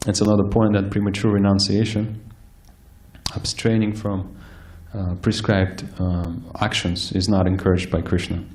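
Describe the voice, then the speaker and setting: A man giving a scripture lecture, soft-spoken and hesitant, with frequent fillers and restarts. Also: deep voice, flat delivery, normal speed. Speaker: speaker giving a scripture class. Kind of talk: sermon-style lecture. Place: temple hall with live audience.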